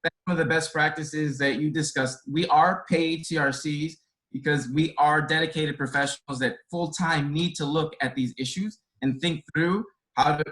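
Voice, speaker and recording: A man's voice: a little high, fairly even intonation, moderate pace, a bit bright, low-quality recording. A man from Boston whose first language is Spanish, a care resource coordinator on a video call. He answers a webinar panel question earnestly and reflectively, in long winding sentences. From Boston, United States.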